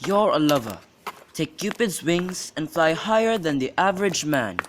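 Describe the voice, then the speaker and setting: A young man in an amateur radio drama, playing a witty, teasing young gentleman and declaiming in a bantering way. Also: on the high side, sing-song, moderate pace. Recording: clearly noisy amateur recording with clicks.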